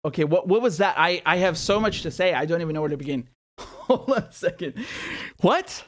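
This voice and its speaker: Host, male, 30s, from Syria; heated, sarcastic commentary, fairly high voice. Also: animated, brisk, fairly bright tone. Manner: indignant, sarcastic, repeats words when worked up. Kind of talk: animated commentary to camera. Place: small indoor studio room. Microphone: studio mic on boom arm.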